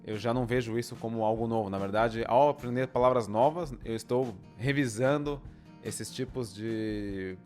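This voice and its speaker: A young Brazilian man with a Brazilian Portuguese accent and an average-pitched voice talks through his ideas in a relaxed chat. He thinks aloud, pausing and restarting as he explains at length, with faint music underneath. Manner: thoughtful, explains at length with many pauses and restarts. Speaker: co-host, language learner. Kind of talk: casual chat.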